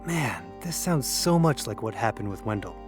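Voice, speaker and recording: A man's voice: mid-range voice, sing-song, moderate pace, over music, lightly acted. A man playing a knowing young man in an audio drama, talking in a casual, matter-of-fact way. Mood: brooding. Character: Zeb, knowing young man telling eerie memories.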